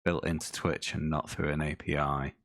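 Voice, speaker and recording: A man streaming a racing game, with a croaky voice, chatting to his viewers in a dry, offhand way. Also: a bass voice, little pitch movement, moderate pace, fairly bright tone, dry recording. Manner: chatty, dry and offhand, talking to chat while playing. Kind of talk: casual gameplay commentary.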